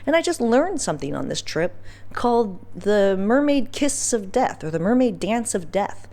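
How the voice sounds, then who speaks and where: A woman's voice: mid-range voice, big pitch swings, moderate pace, warm tone. Casual, candid woman podcast host. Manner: candid, informal, slangy, emphatic. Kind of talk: casual personal storytelling podcast.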